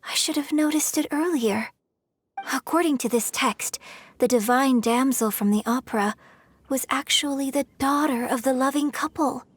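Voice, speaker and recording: Refined, higher-voiced young lady. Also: moderate pace, husky. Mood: musing, sorrowful. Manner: refined, courteous, musing. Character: polite, thoughtful young female opera performer. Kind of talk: video game voice acting.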